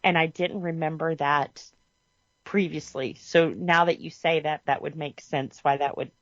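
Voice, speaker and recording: Woman, podcast co-host, slow unhurried talk, laughs a lot, trails off, dry muffled sound. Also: sits low, fairly even intonation, no room sound. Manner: laughs often, trails off mid-thought. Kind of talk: casual chat.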